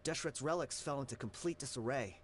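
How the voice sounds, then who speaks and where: A man's voice: medium pitch, brisk, slight background noise, natural voice. A man playing a nervous, evasive and spiteful gang member under questioning in a video game, stammering defensively. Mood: spiteful, dismissive.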